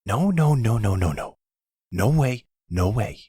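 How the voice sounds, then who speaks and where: Man voicing a whiny young son, husky, defensive. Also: lowish voice, very animated, unhurried, mellow, no room sound, voice pushed up, heavily acted. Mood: outraged. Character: defensive young male small-time crook, sulky grown son. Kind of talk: ASMR roleplay audio drama.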